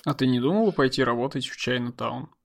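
A man's voice: mid-range voice, fast talker, creaky. Teasing man asking casual questions. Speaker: host. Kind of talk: casual interview chat.

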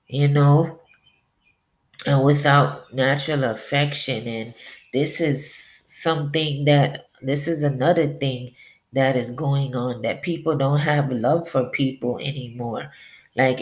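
Woman, podcast preacher, stuffy nose, sniffling, slow unhurried delivery, earnest plain talk. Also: very deep voice. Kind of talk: Bible reading and devotional talk.

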